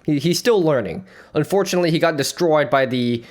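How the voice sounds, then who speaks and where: A male streamer talks through a dating show clip in a loose, joking, rambling way, his pitch jumping all over as he riffs. He keeps breaking into laughs. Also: lively intonation, brisk. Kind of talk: joking reaction commentary.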